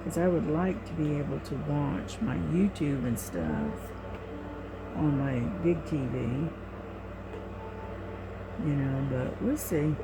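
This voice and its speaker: A middle-aged woman with a low voice, talking quietly and sleepily in a rambling way while diamond painting at home, recorded on a cell phone. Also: expressive, takes it slowly, long pauses, very noisy. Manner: quiet, soft-spoken and rambling. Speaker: crafting vlogger.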